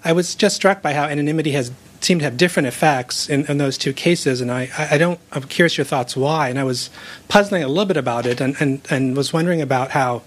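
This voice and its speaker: A man's voice: a little high, fast. Hesitant man asking a question. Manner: hesitant, restarts often, thinking aloud. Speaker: audience member asking a question. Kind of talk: question from the floor.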